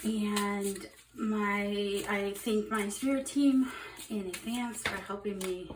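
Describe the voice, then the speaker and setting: A woman's voice: mid-range voice, fairly even intonation, slow, distant mic, background noise. Upbeat woman tarot reader in roomy echo. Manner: chatty, upbeat, rambling with fillers. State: slightly hoarse from overuse. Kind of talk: casual tarot reading to camera.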